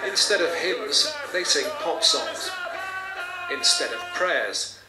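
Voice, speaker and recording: A man's voice: on the high side, wildly swooping pitch, slow. A man reading scripted television news narration as a reporter, replayed from a tablet. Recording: echoey tablet playback, music behind. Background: music.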